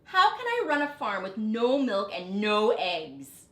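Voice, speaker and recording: A woman's voice: medium pitch, huge pitch swings, unhurried. A woman voicing an indignant old male farmer for a children's storybook. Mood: outraged disbelief. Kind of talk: picture-book read-aloud. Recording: roomy echo, background noise.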